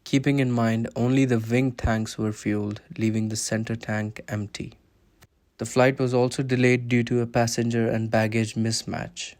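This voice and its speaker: A man's voice: medium pitch, a little mellow. A man narrating a scripted documentary, explaining events step by step.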